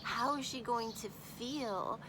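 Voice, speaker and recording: A woman teacher reading a picture book aloud to children expressively, pausing to think aloud and pose questions. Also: a little high, noisy background.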